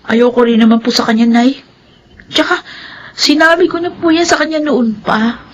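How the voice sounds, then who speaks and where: A woman's voice: a little high, moderate pace, natural voice. A Filipino woman playing a principled, dutiful young elder daughter in a radio drama. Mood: matter-of-fact.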